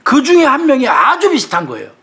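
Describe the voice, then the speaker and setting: Commentator, Chungcheong Korean accent, relaxed conversational delivery. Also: high voice, quick pace. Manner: conversational, with frequent fillers and murmured agreement. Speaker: crime commentator. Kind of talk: casual true-crime discussion.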